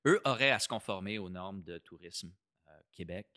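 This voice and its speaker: Québécois man, low voice with a bright edge; casual explainer who restarts phrases and drops in English words. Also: lively intonation, moderate pace, no room sound, studio quality. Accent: Québécois French. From Quebec, Canada. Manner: explanatory, repeats words while thinking, mixes in English terms. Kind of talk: casual policy discussion.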